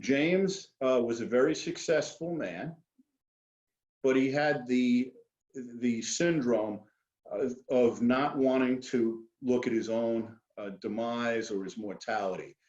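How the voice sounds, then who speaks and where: A man's voice: mid-range voice, slow pace, darkish tone. New York man speaking in an echoey room. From Queens, New York. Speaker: guest speaker, wealth management expert. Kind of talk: informal financial seminar talk. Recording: dull lo-fi audio, roomy echo.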